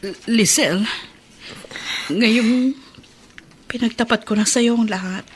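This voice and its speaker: A woman's voice: on the high side, slow pace, a little hiss or hum. A Filipino woman playing an emotional jailed mother who longs for her daughter, in a tender, tearful radio drama style. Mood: hesitant, hushed.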